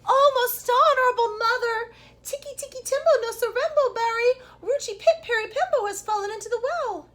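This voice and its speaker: A woman's voice: very high-pitched, fairly quick, fairly bright tone, voice pushed up. A woman voicing a small, breathless boy, urgent and panting as he cries out. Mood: frantic.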